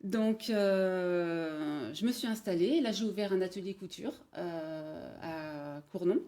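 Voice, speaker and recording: Woman, 40s, Parisian-born guest, mellow and unhurried with lots of fillers. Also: on the low side. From Paris, France. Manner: hesitant, many pauses and fillers. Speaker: guest, bridal showroom manager. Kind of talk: casual interview, telling her career story.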